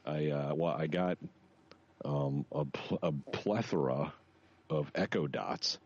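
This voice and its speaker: A man's voice: very deep voice, takes it slowly, a little mellow, dry recording. A man co-hosting a podcast in casual chat, slangy and profane with wry jokes, on a slightly dull recording. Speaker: podcast co-host.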